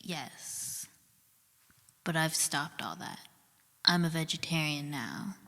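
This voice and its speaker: A low, slow female cannibal played by an actress phones in to a comedy radio show. She half-whispers with sinister menace, and her voice trails in long reverb. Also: animated, vocal fry, a bit bright, heavily acted. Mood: creepy craving. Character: creepy woman cannibal calling a radio show. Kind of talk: comedy radio drama acting.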